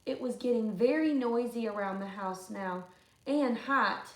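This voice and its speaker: A woman reading a picture book aloud to children like a teacher, adding expressive, chatty comments. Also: on the high side, a little slow, echoey room, low-quality recording. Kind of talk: storybook read-aloud with asides to children.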